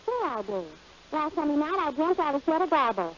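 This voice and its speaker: A woman playing the sidekick on a 1930s radio comedy show, delivering deadpan wisecracks. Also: high voice, a little fast. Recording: dull lo-fi old radio recording with faint hiss.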